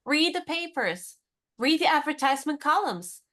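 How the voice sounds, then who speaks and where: A woman playing a plucky, independent American in her twenties in a radio comedy, speaking in a brisk, forthright, encouraging way. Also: sits high, very animated, fairly bright tone. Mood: brisk, urging. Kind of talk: radio play comedy dialogue.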